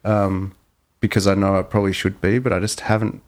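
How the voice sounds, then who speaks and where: A man chatting as a podcast guest, relaxed and reflective, with lots of fillers. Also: deep voice, fairly quick. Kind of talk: casual podcast chat.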